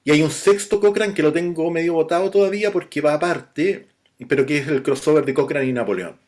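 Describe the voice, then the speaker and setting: A middle-aged man with a Chilean accent, a novelist being interviewed, talking in a relaxed, chatty way with frequent restarts. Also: fairly high pitch, fairly quick. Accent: Chilean Spanish. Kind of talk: casual interview talk.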